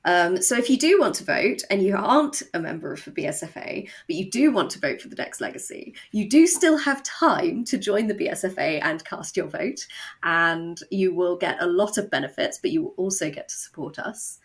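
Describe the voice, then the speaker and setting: A woman chatting as a guest in a podcast interview, explaining things in a hesitant way with pauses mid-sentence. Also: medium pitch, expressive, a bit bright. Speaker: audio drama co-creator, podcast guest. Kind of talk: casual podcast interview chat.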